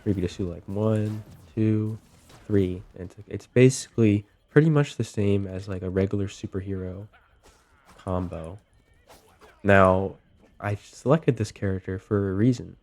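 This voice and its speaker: A young man getting over a cold, talking through game tips in a relaxed way with lots of ums and likes. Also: fairly low pitch, unhurried. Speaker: gaming video host. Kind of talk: casual gameplay commentary.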